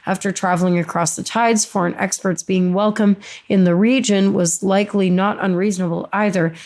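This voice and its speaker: A young woman with a mid-range voice reads game lore aloud for a playthrough. She moves through long passages at a steady pace, pausing to fix the occasional stumble. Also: medium pitch. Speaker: co-host of a let's play channel. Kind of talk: reading game text aloud and casual chat.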